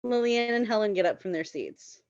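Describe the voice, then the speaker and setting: A woman's voice: medium pitch, animated, brisk, a little mellow, dry recording, highs cut off. A woman reading stage directions as scripted narration at a table read.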